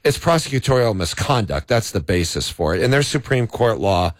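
Low-voiced law professor arguing on radio. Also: male voice, sing-song, a little fast, slight background noise. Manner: argumentative, explains with examples. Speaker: law professor, co-host guest. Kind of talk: talk radio legal commentary.

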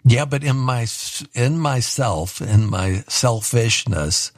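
A man's voice: medium pitch, animated, takes it slowly. A middle-aged man hosting a conversational radio interview, talking in a reflective, self-deprecating way. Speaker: interview show host.

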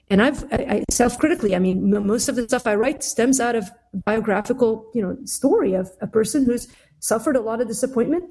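A woman's voice: mid-range voice, gravelly, mellow, breathy edge. A woman from Lebanon, a guest author on a radio talk show, speaking in long, earnest, reflective thoughts.

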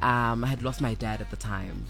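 A young South African woman with a deep voice, chatting playfully as a radio guest and slipping in Zulu phrases. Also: normal speed, fairly bright tone, faint music behind. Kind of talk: casual interview chat.

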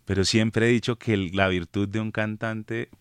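Low-voiced Colombian man in his thirties. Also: moderate pace, clean tone, no echo, clean studio sound. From Villavicencio, Colombia. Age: 30s. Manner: laughs often, relaxed and friendly. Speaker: guest singer. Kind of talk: casual radio interview chat. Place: radio studio.

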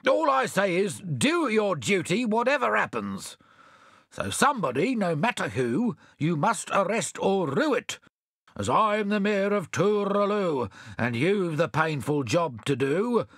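Pompous cowardly mayor, high and blustering, storybook; man reading. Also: big pitch swings, measured pace, fairly bright tone, husky, dry recording, clean studio sound, exaggerated voice. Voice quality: blustering, self-important.

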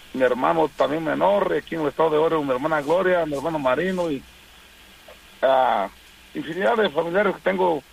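Gravelly rambling male radio caller. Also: on the high side, slight background noise, rough recording. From Oaxaca, Mexico. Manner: rambling, with many hesitant fillers. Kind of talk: casual phone-in greetings.

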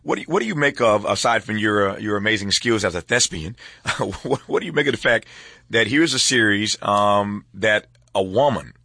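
A man hosting a conversational radio interview, phrasing his questions in a deliberate, halting way. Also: fairly low pitch, gravelly, pushed and bright, no room sound, clean studio sound. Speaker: talk show host.

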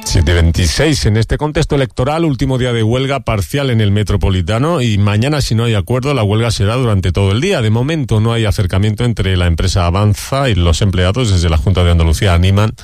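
A man presenting the local morning radio news in a measured, formal read. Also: on the low side, expressive, darkish tone, no echo, clean studio sound, soft background music. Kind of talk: scripted radio news reading.

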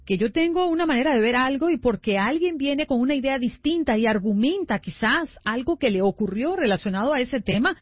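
A woman's voice: sits high, expressive, brisk, hardly any pauses, husky, no room sound, lo-fi sound. A woman hosting a call-in radio show, speaking reflectively and asking callers short, probing questions. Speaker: radio host.

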